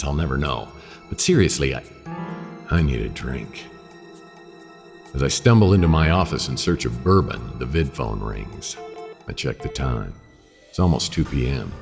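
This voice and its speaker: A man playing a world-weary, wisecracking private detective in a noir radio drama, with a wry deadpan delivery. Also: rumbling low, gravelly, in a large hall, heavily acted. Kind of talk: noir radio drama, first-person narration and dialogue.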